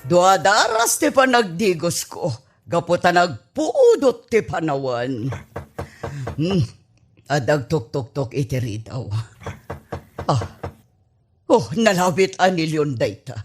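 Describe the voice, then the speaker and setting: A woman's voice: fairly low pitch, big pitch swings, takes it slowly, pauses often, husky, soft background music, exaggerated voice. A woman acting an exasperated but amused character who exclaims at a confused old man in an Ilocano radio comedy drama, with an Ilocano accent. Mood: musing.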